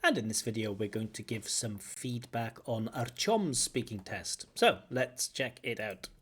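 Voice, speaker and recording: A man from Wales, an English examiner, giving feedback on a speaking test and explaining points with examples and gentle corrections. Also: mid-range voice, animated, a little slow, husky. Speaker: IELTS examiner and teacher. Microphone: video call.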